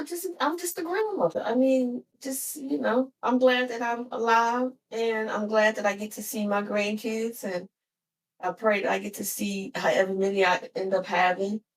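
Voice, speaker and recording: A woman's voice: on the high side, a little slow, distant mic, rough recording. A woman in her fifties chatting casually with her daughter, blunt and playful, often laughing. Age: 50s. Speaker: podcast guest, author and host. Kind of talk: casual family chat, question cards.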